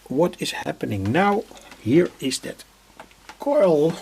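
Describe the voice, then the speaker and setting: A man giving an unscripted electronics demonstration, halting as he searches for words, with a husky, creaky voice. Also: on the high side, darkish tone. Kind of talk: unscripted workbench demonstration vlog. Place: indoor hobby workbench.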